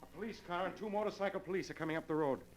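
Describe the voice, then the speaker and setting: An actor playing a smooth, fatalistic criminal lover, theatrical and persuasive, in 1940s radio drama style on an old recording. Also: male voice, sits high, rapid-fire, lightly acted. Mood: coolly persuasive. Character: smooth, fatalistic criminal lover urging his partner to suicide. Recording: noisy old golden age radio recording.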